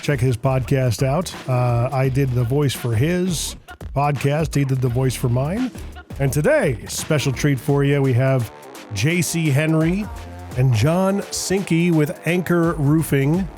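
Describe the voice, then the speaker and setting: A man's voice: medium pitch, slow pace, darkish tone, faint music behind. A man hosting a business podcast, talking casually and rambling with lots of restarts. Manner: chatty, rambling with many restarts. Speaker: podcast host. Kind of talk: casual business interview chat.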